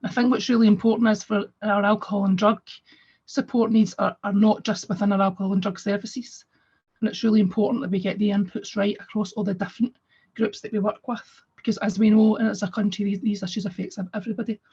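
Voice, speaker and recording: A woman who heads a drug and alcohol service, croaky from a cold, presenting in a friendly way with frequent fillers. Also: mid-range voice, little pitch movement, fast talker, mellow, lo-fi sound. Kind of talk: webinar presentation.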